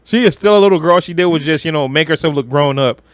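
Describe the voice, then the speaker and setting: Male co-host, rapid slangy banter, slightly higher pitch, joking and profane, dry recording. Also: no room sound. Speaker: podcast co-host. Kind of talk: casual movie chat.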